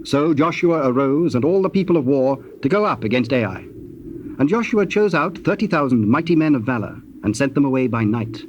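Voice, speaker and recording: A man narrating scripture in a solemn, measured and formal manner. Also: mid-range voice, animated, natural voice. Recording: dull, noisy old cassette tape.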